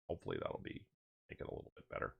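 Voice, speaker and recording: A man hosting a live comics news stream, ranting with a booming voice and plenty of profanity. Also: racing, no room sound, phone-quality sound. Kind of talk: opinionated live-stream commentary.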